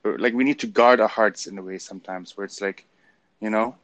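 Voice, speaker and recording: A man with a German accent talking in a casual motivational chat, passionate and getting loud when excited. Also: lowish voice, brisk, no room sound.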